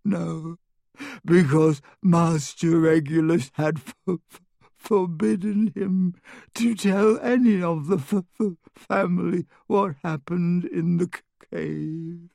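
A man voicing a frightened old male elf servant in an audiobook, abject and devoted, speaking haltingly through sobs. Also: on the high side, a little mellow, no echo, studio quality, pitched above the performer's own voice. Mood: sobbing, stammering. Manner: sobbing, halting, stammering, speaks of himself in third person. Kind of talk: audiobook character acting.

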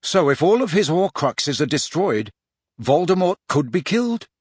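A man's voice: fairly high pitch, sing-song, normal speed, a little mellow, husky, no echo, clean studio sound. A man voicing a blunt, impatient teenage boy wizard in an audiobook, blurting doubts and questions. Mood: puzzled.

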